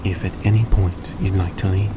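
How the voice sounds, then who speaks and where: A man playing a courteous, gentle vampire in an intimate audio roleplay, speaking politely and a little hesitantly to the listener. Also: low voice, brisk, natural voice. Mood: hesitant, caring. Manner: soft-spoken, polite, hesitant, formal phrasing. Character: courteous, gentle male vampire repaying a debt. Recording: steady background noise behind voice.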